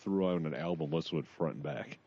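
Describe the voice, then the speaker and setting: Low voiced dude on a podcast, casual sweary banter, kinda muffled lofi mic. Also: male voice, fast talker, a little mellow, dry recording. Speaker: podcast co-host. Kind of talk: casual chat.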